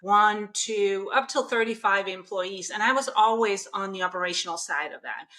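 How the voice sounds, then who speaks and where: A woman's voice: mid-range voice, normal speed, sharp tone. A middle-aged woman from Denmark with a Danish accent, talking earnestly and with enthusiasm as a guest on a business interview podcast. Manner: earnest, enthusiastic storytelling with self-quotes. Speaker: guest, mindset coach.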